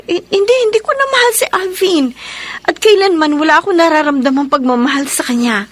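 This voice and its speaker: An actress playing an anxious, gentle young woman in an emotional, earnest radio drama performance. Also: high voice, brisk, natural voice. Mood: flustered. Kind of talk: horror radio drama acting.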